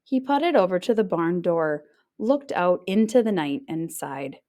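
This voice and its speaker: A woman reading a children's picture book aloud as a teacher would, expressive in her narration and acting out little sounds. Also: lowish voice, unhurried.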